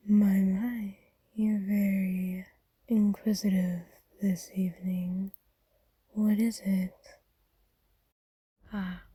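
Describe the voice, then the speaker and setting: Woman acting as old vampire mistress, ASMR roleplay, breathy whisper, unhurried formal phrasing, soothing, possessive, close dry sound. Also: mid-range voice, mellow, no room sound, exaggerated voice. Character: ancient wealthy female vampire, kindly possessive owner.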